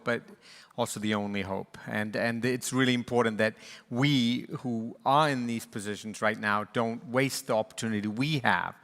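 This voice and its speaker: A man's voice: lowish voice, fairly bright tone. Earnest male panel moderator in a reverberant hall. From born in Sri Lanka, raised in East and West Africa. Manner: earnest, reflective, with frequent restarts and fillers. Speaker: panel moderator, conservation charity leader. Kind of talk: closing remarks at a livestreamed panel.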